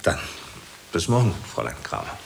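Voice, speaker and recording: An actor playing a jovial, flattering theatre director who talks down to a beginner with hesitant pauses and chuckles, in radio drama style. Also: male voice, low-pitched, creaky, a little hiss or hum, exaggerated voice. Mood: flattering, paternal. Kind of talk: German radio drama acting.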